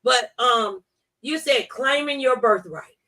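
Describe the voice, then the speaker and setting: A woman's voice: fairly high pitch, slow pace, pushed and bright, rough recording. A woman hosting a radio talk show in a studio, chatting casually and chiming in with agreement. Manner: affirming, interjecting agreement.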